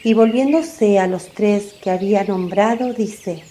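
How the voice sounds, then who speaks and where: Slow, mellow woman narrator. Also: medium pitch, slight background noise. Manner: measured, descriptive reading. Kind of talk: scripted narration. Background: faint music.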